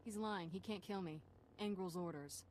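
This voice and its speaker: An actress playing a spirited, wry young Jedi apprentice in a video game. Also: female voice, on the low side, moderate pace, background noise, lightly acted. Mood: urgent.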